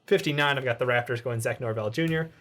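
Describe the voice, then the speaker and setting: A man co-hosting a sports podcast, reading his draft picks fast with casual jokes. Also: medium pitch, fairly bright tone. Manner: casual, joking, laughs mid-list. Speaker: podcast co-host. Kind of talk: rapid-fire mock draft reading.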